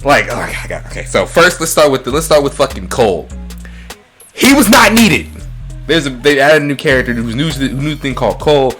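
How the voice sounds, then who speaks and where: A man's voice: mid-range voice, sing-song, fairly quick, a bit bright, soft background music. A man chatting casually and profanely as a podcast co-host, rambling and repeating his phrases. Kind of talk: casual, profane chat about movies.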